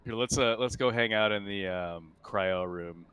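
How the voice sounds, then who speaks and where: A man running a tabletop roleplaying game as game master, thinking aloud in a halting, unscripted way. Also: fairly low pitch, a bit bright, clean tone.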